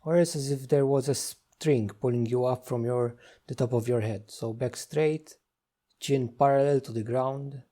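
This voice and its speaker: A man leading a guided meditation, giving gentle, unhurried instructions with frequent pauses. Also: mid-range voice, fairly even intonation.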